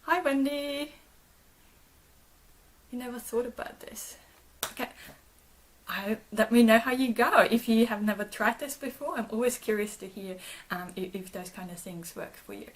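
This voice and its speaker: Gentle woman, reassuring. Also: fairly high pitch, normal speed, pauses often, slight background noise. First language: German. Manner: gentle and reassuring, with frequent restarts and repeated words. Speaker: trauma therapist and channel host. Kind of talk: live-stream talk answering viewer comments.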